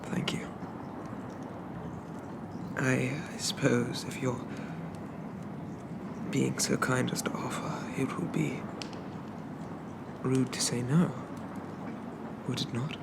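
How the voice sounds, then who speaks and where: A man playing a shy, polite young zombie from a bygone era in a soft-spoken ASMR roleplay, stammering and apologizing in old-fashioned phrases. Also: medium pitch, steady tone, slow, gravelly, pauses often, heavy background noise. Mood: bashful, grateful.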